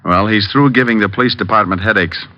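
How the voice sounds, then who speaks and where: A man's voice: lowish voice, fast talker, close to an everyday voice. An actor playing a cool, wisecracking male private detective in an old-time radio drama, with wry, clipped delivery. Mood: dry, assured. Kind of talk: old-time radio detective acting with first-person narration. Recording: dull old radio recording, faint music.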